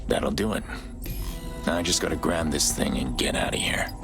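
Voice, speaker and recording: An actor playing a gruff middle-aged mercenary in a video game, with a gravelly voice and a terse, matter-of-fact manner. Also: male voice, extremely low pitch, moderate pace, music in the background. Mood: focused, low. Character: gruff ageing male mercenary, cool and stubborn.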